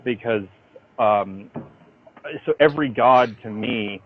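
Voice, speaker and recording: A man being interviewed by phone as a writer, talking in an informal, halting but emphatic storytelling way. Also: medium pitch, slight background noise. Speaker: interviewee, comic book writer. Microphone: phone call. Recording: dull lo-fi phone call.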